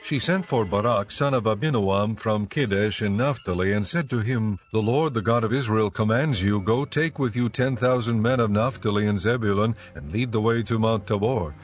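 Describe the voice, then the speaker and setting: A man's voice: fairly low pitch, moderate pace, few pauses, faint music behind. A man reading as the narrator of a scripted, dramatized scripture audiobook.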